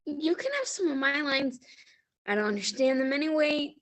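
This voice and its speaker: A woman's voice: high-pitched, lively intonation, normal speed, fairly bright tone. A young woman in a school stage play, playing a sweet, clueless actress with an airy delivery.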